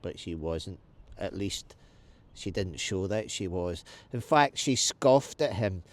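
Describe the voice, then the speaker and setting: Slow-paced storyteller man. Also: low-pitched, lively intonation. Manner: explains as he tells, asks and answers questions. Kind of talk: storytelling for children.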